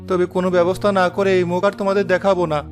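A man acts out a poor, loving cartoon father with a fairly high voice. He speaks tenderly and earnestly, with a worried, devoted air. Music plays clearly behind him. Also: level tone, racing, close to an everyday voice. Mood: troubled, resolute. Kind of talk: cartoon voice acting, moral story.

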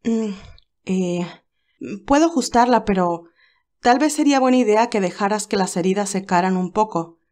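A woman voicing a young nurse heroine, nervous and stammering, a little higher than her narration. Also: moderate pace, darkish tone, natural voice.

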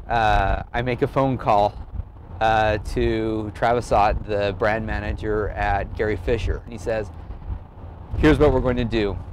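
A man talking casually in an earnest, halting way, thinking aloud as he tells a personal story in an interview. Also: mid-range voice, slight background noise. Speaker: amateur cyclist and blogger being interviewed.

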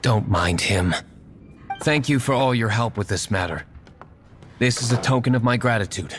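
A man's voice: mid-range voice, normal speed, over music, natural voice. A man voicing an aloof, brooding young tavern owner and secret vigilante in a video game, cool and dryly formal. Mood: quietly grateful. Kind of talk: video game character acting.

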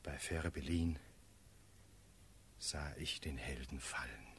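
An actor playing a grave cavalry officer, giving a solemn, measured verse report in a classical radio play. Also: male voice, rumbling low, slight background noise, full character voice.

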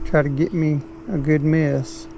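A middle-aged man talks slowly and easily from a small boat on a shaded swamp. His voice is gravelly and sits a little high for a man. The sound comes from a head-mounted camera, with faint outdoor noise behind him. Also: level tone, dark tone, lo-fi sound. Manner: laid-back, folksy, talks to the fish. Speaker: fishing vlogger. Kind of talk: casual narration while fishing. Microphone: head-mounted action camera.

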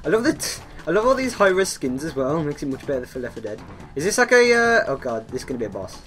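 A young man chats and exclaims through a co-op game. His voice is fairly high and bright, with very lively pitch, and he sounds excitable. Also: quick pace, soft background music. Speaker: gaming video player. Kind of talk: excitable co-op gameplay commentary.

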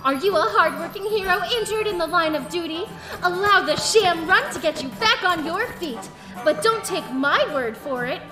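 A woman voicing a perky young gadget pitch girl in an anime parody infomercial, chirpy and salesy. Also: expressive, normal speed, echoey room, over music. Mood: chirpy selling.

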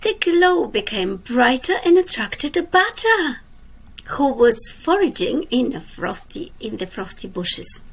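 A woman teacher reading a children's picture book aloud, asking the young listeners questions and dropping to a soft whisper at the ends of lines. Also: sits high, sing-song, gravelly, breathy edge. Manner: slow, gentle, drops to a soft whisper at line ends. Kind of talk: children's storytime read-aloud. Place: indoors at a computer.

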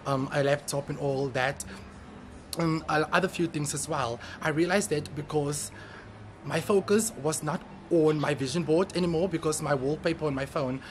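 A man's voice: fairly high pitch, steady tone, moderate pace. A man vlogging casually, chatting directly to his viewers. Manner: chatty, addresses viewers as guys. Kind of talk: casual vlog chat to viewers. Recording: noisy vlog audio with background ambience.

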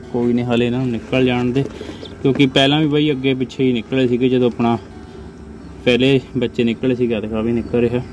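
A man's voice: mid-range voice, a little mellow. Young Punjabi man over farm noise. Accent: Punjabi from Indian Punjab. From Punjab, India. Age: young adult. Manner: informal, addresses viewers as friends. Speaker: farm vlogger. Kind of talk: casual vlog commentary. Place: poultry farm sheds and yard. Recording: noisy farm with clucking birds. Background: chickens clucking, birds.

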